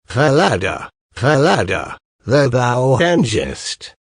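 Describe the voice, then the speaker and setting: A man voicing a sorrowful young goose girl, a wronged princess who chants rhymes in drawn-out, incantatory verse. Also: mid-range voice, big pitch swings, breathy edge, no room sound, clean studio sound, exaggerated voice.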